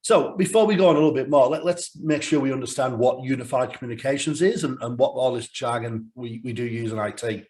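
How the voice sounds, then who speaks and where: A man gives a business webinar over a video call. His voice is croaky from a cold, and now and then he has to cough or clear his throat. He speaks at a middle pitch in a steady presenter's manner. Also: fast, hardly any pauses. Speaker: sales director presenting a webinar.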